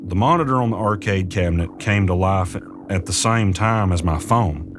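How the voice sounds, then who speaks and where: An actor playing an uneasy man who recounts eerie events in measured, suspenseful first-person audio drama narration. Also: low voice, lightly acted. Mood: ominous suspense. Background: music.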